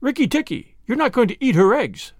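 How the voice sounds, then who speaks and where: A man voicing an excitable, feather-brained male tailorbird in a storybook reading, high and sing-song. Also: fairly quick, husky, dry recording, studio quality, full character voice. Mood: fawning.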